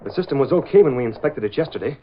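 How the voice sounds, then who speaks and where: An actor playing a hurried, anxious plant employee in a 1930s radio drama. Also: male voice, medium pitch, lively intonation, lightly acted. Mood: hurried, worried. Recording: dull lo-fi old radio recording.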